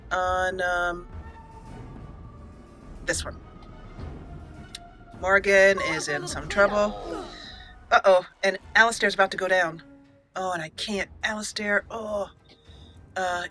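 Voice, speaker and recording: A woman's voice: mid-range voice, a bit bright. A woman hosting a casual let's play, chatting playfully with mock indignation and mild joke swearing. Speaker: gaming channel host.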